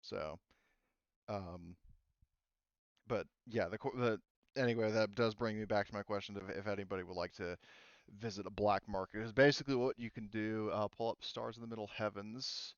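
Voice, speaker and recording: Low-voiced, hesitant male game master, slightly muffled. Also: fairly even intonation, moderate pace, clean tone, no room sound. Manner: hesitant, rambling delivery full of trailing thoughts. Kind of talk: casual talk running a tabletop roleplaying game online.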